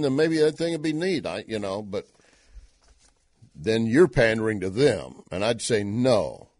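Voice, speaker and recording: A man's voice: mid-range voice, darkish tone, no room sound, clean studio sound. A Texan man hosting an opinion talk show, commenting indignantly with emphatic questions. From Texas.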